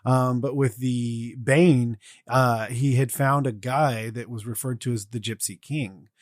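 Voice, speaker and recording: A man's voice: medium pitch, fairly even intonation, a little slow, no echo, studio quality. A man co-hosting a podcast, talking in an earnest, loose and meandering conversational way. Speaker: podcast co-host. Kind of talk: casual podcast discussion.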